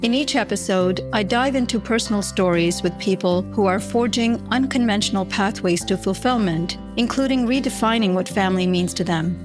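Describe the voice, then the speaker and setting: A woman hosts an interview podcast, reading a scripted intro and then asking encouraging questions. Her voice sits at an average pitch, and clear guitar music plays underneath. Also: normal speed, hardly any pauses. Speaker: podcast host.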